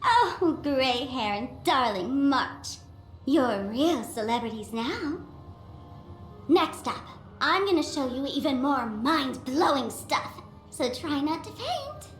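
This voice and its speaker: Game role: brash young female guide, high and swooping, theatrical, slightly roomy over music. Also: big pitch swings, slow pace, full character voice. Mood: teasing. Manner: teasing, theatrical. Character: brash, playful young woman acting as tour guide. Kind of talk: animated video game character dialogue.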